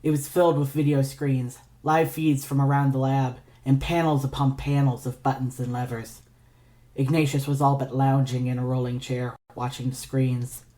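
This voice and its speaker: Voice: medium pitch, level tone, normal speed, dark tone. A narrator reading scripted fiction aloud as an audio story.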